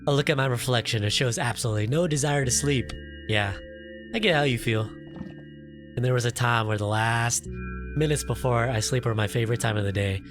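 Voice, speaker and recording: A man's voice: medium pitch, a little fast. A man doing a let's play, reading a visual novel's text aloud dramatically and breaking off for playful, casual asides. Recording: loud music behind the voice. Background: game music and sound effects.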